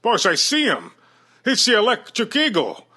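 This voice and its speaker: A man playing an excitable, trigger-happy gangster henchman with squawky, theatrical tough talk in an old-time radio style. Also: high-pitched, moderate pace, heavily acted. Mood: alarmed, eager.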